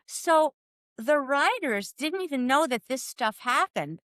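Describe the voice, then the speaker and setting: A woman in her sixties from California, a former cycling soigneur telling animated stories in an interview and acting out the dialogue. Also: sits high, measured pace, no echo, studio quality. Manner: lively, acts out quoted dialogue.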